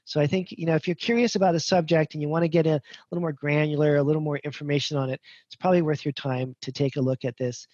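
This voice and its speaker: A man in his fifties talks through a webinar in a loose, rambling way with a bit of self-deprecation. His voice sits a touch high, and the video call audio is dull and very dry. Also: fairly even intonation, fast, hardly any pauses, a little mellow, no room sound. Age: 50s. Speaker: functional medicine instructor. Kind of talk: casual webinar talk.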